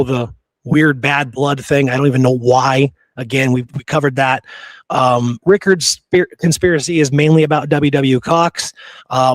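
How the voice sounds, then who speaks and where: A man hosts a history podcast in an unpolished, conversational style. He is blunt and opinionated, and his medium-pitched voice is recorded very dry, with hardly any room around it. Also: no room sound. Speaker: podcast host. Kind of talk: casual history discussion.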